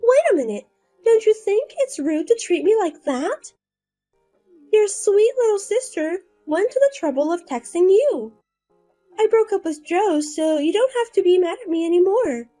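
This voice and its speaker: A woman's voice: extremely high pitch, animated, moderate pace, warm tone, soft background music, pitched above the performer's own voice. A woman voicing a scheming younger sister who taunts and boasts in a sing-song way. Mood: taunting.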